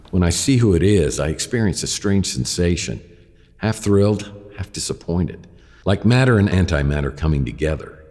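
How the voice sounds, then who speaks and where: A man playing a wry, world-weary private detective, narrating his case deadpan in a hardboiled radio drama. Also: low voice, big-room sound. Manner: deadpan, sardonic.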